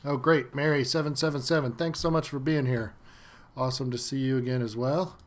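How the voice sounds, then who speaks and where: A man's voice: medium pitch, brisk. Guy in his 30s doing a chill live stream, bit stuffy from a cold, lots of ums. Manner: relaxed, chatty, many fillers, greets viewers by name. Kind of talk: casual live stream chat. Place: at home.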